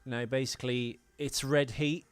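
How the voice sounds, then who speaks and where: A man's voice: mid-range voice, steady tone, normal speed, clear, resonant tone. A man co-hosting a podcast, chatting casually and comically about films in a rambling, joking way. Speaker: podcast co-host. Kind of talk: casual comedic film chat.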